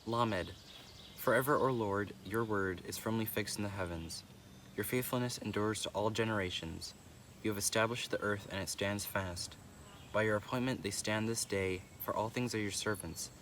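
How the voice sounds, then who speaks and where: A man's voice: fairly low pitch, moderate pace, fairly bright tone. A man reciting scripture verses aloud. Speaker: reader. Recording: distant mic, faint background noise.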